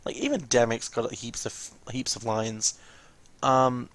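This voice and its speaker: A man giving casual, rambling game commentary as a let's play host, informal and often correcting himself mid-sentence, with a creaky voice. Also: mid-range voice, unhurried, fairly bright tone.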